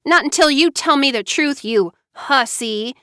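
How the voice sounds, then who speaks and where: Lady doing an angry jealous sister, shrill and yelling, dry close sound. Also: a little slow, dry recording. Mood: furious. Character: furious jealous young woman, older sister.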